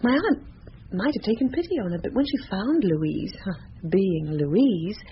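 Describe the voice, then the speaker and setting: A woman's voice: medium pitch, animated, a little slow, natural voice. Woman playing a haughty, swooping young madame. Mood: disdainful. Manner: haughty, theatrical, disdainful. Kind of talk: radio drama acting. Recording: dull, slightly noisy radio drama recording.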